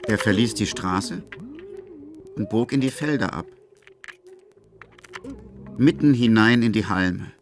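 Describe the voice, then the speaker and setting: A man's voice: fairly low pitch, slow pace, long pauses, faint music behind. A man narrating a literary radio drama as its storyteller.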